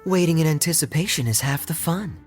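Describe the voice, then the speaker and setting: An actor playing a suave, teasing young man with smooth talk in a game cutscene. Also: on the high side, lively intonation, fairly quick, soft background music, close to an everyday voice. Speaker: tavern customer.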